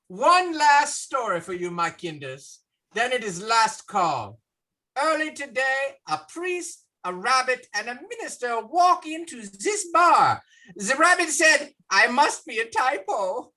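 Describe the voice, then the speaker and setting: A cheesy bar emcee and his groan-worthy puns, read by a woman. The voice is bright and leaps around in pitch, but the delivery stays slow and deliberate. He lands each punchline with a deadpan, hammy timing. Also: exaggerated voice, rough recording. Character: male bar emcee telling corny puns. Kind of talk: virtual play reading.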